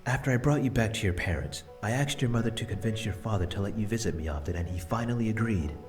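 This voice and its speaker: A man voicing the spirit of an elderly grandfather, speaking softly and patiently. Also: fairly low pitch, quick pace, close to an everyday voice. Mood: tender. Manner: soft and tender, explaining patiently. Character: gentle elderly grandfather's spirit, loving and regretful. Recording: music bed under voice.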